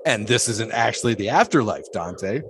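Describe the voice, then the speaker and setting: A serene, eccentric daredevil of the 1920s in an audio drama. His pitch moves in wide, animated swings as he teases and muses in ornate, cryptic phrases. Background ambience is clearly audible. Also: normal speed, background noise. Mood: musing, cryptic.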